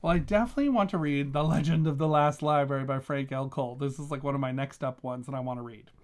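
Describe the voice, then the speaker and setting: A man's voice: medium pitch, fast talker. A man talking casually as a vlogging reviewer, gushing with emphatic, enthusiastic delivery. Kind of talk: casual chatty book review vlog.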